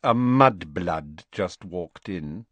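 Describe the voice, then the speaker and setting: A man voicing an arrogant, sneering teenage boy with a slow drawl. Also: low-pitched, lively intonation, a bit bright, no echo, heavily acted.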